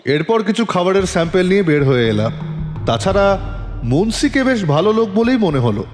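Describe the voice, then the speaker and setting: A man playing a composed, probing police inspector who narrates his case in a dramatic, deliberate audio-drama style. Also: sits high, big pitch swings. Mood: suspenseful, measured. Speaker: narrator and lead actor in an audio story. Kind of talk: dramatic first-person detective story narration. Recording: long reverb, music underneath.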